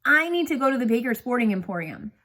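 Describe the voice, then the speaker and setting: A woman's voice: on the high side, sing-song, quick pace, sharp tone, pitched above the performer's own voice, heavily acted. A woman voicing an earnest, anxious seventh-grade boy in a storybook read-aloud, pleading politely.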